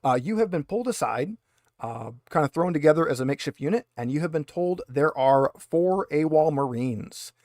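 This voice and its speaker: A man's voice: on the high side, lively intonation, normal speed, a little mellow, dry recording. Casual male game master, dry close sound. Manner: conversational, wry asides. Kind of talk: casual tabletop game narration.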